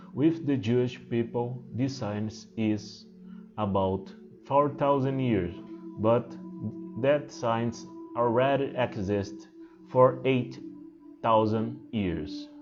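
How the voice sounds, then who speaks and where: A man whose first language is Portuguese, speaking English with a Portuguese accent as a spiritual teacher, halting and hesitant with many fillers and restarts. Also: fairly low pitch, darkish tone. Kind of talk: unscripted spiritual talk to camera. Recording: muffled, with singing bowl music behind.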